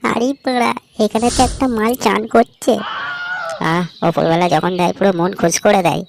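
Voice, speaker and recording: A woman plays a lazy young village man in a comic cartoon. The talk is crude, slangy and grumbling, delivered at a quick clip. A clear layer of noise and birdsong sits behind it. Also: mid-range voice, lightly acted. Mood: smug, crude. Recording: noisy track with birdsong.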